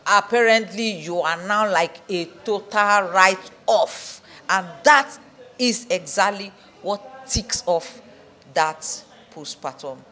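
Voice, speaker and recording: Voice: mid-range voice, slow, pushed and bright. A person giving an earnest, emphatic, unscripted interview at an event. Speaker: audience interviewee.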